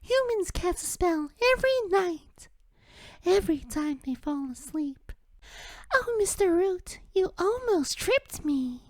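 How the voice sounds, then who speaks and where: A man's voice: very high voice, big pitch swings, slow pace, a little mellow, no echo, heavily acted. A man voicing a timid, dreamy young female fawn spirit from a video game, sweet and hesitant. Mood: shy, sweet. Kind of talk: video game character impression.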